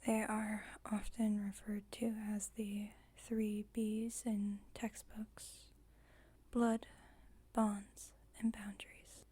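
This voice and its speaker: Woman doing a breathy whispery vampire boss lady for asmr, formal n a bit wry, slow and soft. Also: mid-range voice, flat delivery. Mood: wryly instructive. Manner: measured, formal, politely instructive. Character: composed, formal female vampire coven leader, gently wry mentor. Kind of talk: ASMR roleplay, whispered scripted monologue.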